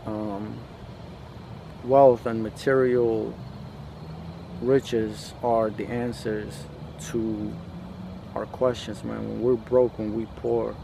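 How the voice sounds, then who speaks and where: A man from a post-Soviet country speaking in a casual, reflective monologue, halting and repeating himself, with lots of slangy filler. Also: lowish voice, flat delivery, vocal fry, background noise. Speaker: podcast host.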